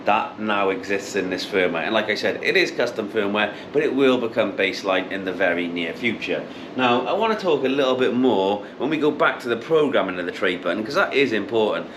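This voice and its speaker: A man in his thirties with a fairly low voice walks through a product demo. He explains casually and repeats the key points. There is noticeable background noise in the room. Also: expressive, brisk, few pauses. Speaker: product demonstrator. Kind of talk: casual how-to product demonstration. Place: showroom with intercom display wall, indoors. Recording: clearly noisy room background.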